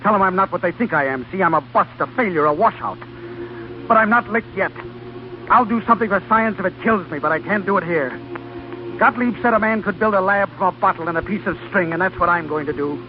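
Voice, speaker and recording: Man acting defiant idealistic doctor, high-pitched old radio drama. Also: fairly quick, a little hiss or hum, natural voice. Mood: defiant, resolute. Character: idealistic, defiant young male research doctor, bitter but determined. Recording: dull old radio recording, loud music behind.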